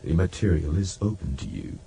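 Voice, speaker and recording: A man's voice: sits low, brisk, mellow, slightly rough, exaggerated voice. A man voicing a cool, probing ship's computer in a science fiction audiobook. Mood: cool, questioning.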